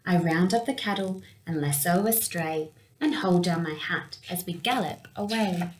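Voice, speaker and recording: A young woman reading a picture book aloud for children in an expressive storytelling manner. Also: fairly low pitch, moderate pace, slight background noise, rough recording. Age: young adult. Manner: expressive, with acted-out sound words. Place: small indoor room with window blinds.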